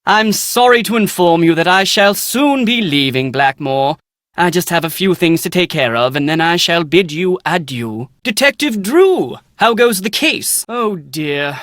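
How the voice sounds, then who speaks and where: An actor playing a pompous, excitable British scholar and would-be author in a video game, speaking in bookish, theatrical diction. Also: male voice, a little high, unhurried, no room sound, clean studio sound. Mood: formal, courteous, then affable, wry. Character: Nigel Mukherjee, pompous, excitable British male scholar writing family history. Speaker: researcher and aspiring author. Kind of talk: video game character dialogue.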